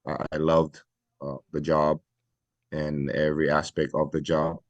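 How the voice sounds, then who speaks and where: A middle-aged man from Hawaii, a retired fire battalion chief, speaking earnestly and reflectively with many pauses in a podcast interview. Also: rumbling low, completely flat delivery, dry recording, lo-fi sound.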